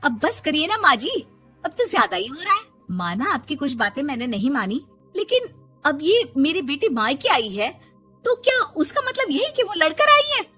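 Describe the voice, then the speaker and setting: Woman as a high-voiced, anxious protective mother. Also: big pitch swings, normal speed, pushed and bright, faint music behind, full character voice. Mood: indignant, protective. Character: anxious middle-aged mother, indulgent and protective of her daughter.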